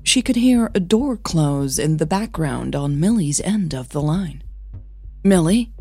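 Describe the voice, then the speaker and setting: A woman's voice: deep voice, lively intonation, measured pace, warm tone, husky, no room sound, soft background music. A woman narrating a fiction audio drama in a scripted storytelling style.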